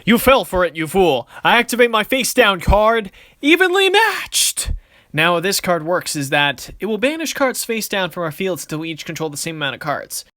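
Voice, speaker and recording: A man's voice: sits high, big pitch swings, moderate pace, sharp tone, no room sound, studio quality, full character voice. A man playing a cocky card duelist in an anime-style series, speaking in a theatrical, smug, declamatory way. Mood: smug, triumphant. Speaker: voice actor in a fan dueling series. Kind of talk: anime-style dramatic battle dialogue.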